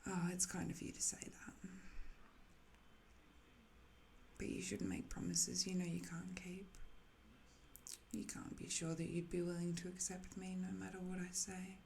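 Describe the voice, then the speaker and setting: A woman voicing an ancient cursed living weapon, a soft-spoken, wistful villain who muses hesitantly in an intimate ASMR roleplay, sometimes dipping toward a whisper. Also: fairly low pitch, little pitch movement, moderate pace, vocal fry, slight background noise. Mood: coy, hesitant.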